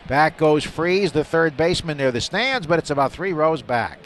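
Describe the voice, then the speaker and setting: A man calling baseball live on radio, describing each play in detail and getting excited on the big ones. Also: on the high side, moderate pace. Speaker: play-by-play announcer. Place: stadium broadcast booth. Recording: faint stadium noise behind a broadcast.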